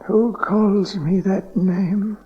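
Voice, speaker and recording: A man's voice: sits high, steady tone. An actor playing a dying old king in an audio Bible drama, bitter, scornful and self-pitying, with a weak, wasted voice. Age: older. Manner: drawn-out, bitter, sardonic. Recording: dull cassette tape transfer.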